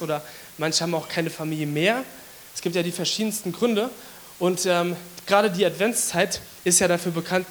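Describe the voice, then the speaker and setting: A man with a hoarse voice from a cold, giving a casual, chatty sermon to his congregation with many fillers. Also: sits high, slight background noise. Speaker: preacher.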